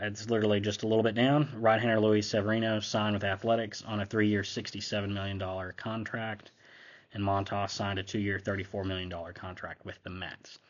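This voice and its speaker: A man's voice: lowish voice, highs cut off. Young man with a slight cold. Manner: offhand, conversational, reading off a list. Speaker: host. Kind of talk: casual sports recap commentary.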